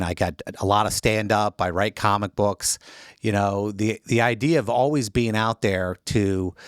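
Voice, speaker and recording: A man with a fairly low voice hosts an interview, the sound dry and close. He asks long, rambling questions in an easy conversational way. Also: no room sound. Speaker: interview host.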